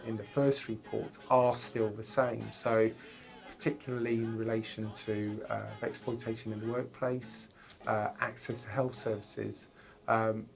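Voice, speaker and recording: A man researcher speaking carefully, with pauses and fillers, in a documentary interview. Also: medium pitch.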